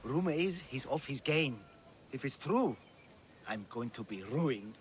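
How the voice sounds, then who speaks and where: A man's voice: medium pitch, animated, a little slow, a little hiss or hum, natural voice. An actor playing a nervous male bookmaker in a video game, hesitant and pleading. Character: anxious male bookmaker, fretting over bets. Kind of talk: video game cutscene acting.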